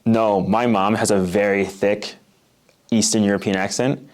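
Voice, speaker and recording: Young Midwestern man, low voice. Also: fairly even intonation, measured pace, a little mellow. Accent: Midwestern American. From Oak Park, Illinois, USA. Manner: casual, uses many "like" fillers. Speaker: interviewee. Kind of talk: read-aloud passage and casual interview.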